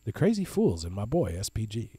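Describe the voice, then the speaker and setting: A man's voice: on the low side, huge pitch swings, brisk, dark tone, husky, no room sound. A man, co-hosting a casual baseball podcast and talking up local beer and bands with gusto. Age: 30s. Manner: enthusiastic, hype-man plugs. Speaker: podcast co-host. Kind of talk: casual sports podcast chat.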